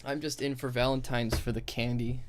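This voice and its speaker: A man's voice: medium pitch, level tone, fairly quick, slight background noise. A man giving casual, opinionated stream commentary in a blunt, sardonic, sweary way. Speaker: streamer and critic.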